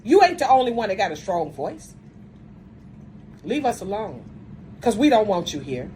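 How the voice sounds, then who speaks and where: A woman's voice: mid-range voice, big pitch swings, normal speed, mellow, a little hiss or hum, low-quality recording. A woman speaking straight to the camera in an angry rant, blunt and confrontational, often stumbling and restarting mid-sentence. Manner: says she has a strong voice. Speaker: video commentator.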